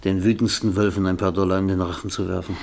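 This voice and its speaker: An actor playing a tired, debt-ridden middle-aged novelist in a German radio drama, world-weary, pleading and self-deprecating. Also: male voice, low-pitched, level tone, fast talker, vocal fry. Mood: weary, resigned. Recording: noisy vintage radio drama recording.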